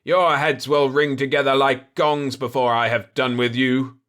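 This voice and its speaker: A man's voice: medium pitch, fairly even intonation, measured pace, a bit bright, voice pushed up, natural voice. Man acting a pompous, menacing desert potentate. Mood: outraged, menacing. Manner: florid, theatrical threats.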